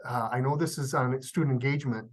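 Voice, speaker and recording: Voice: mid-range voice, fast talker. A workshop facilitator reflecting on the discussion so far, thoughtful and searching for words.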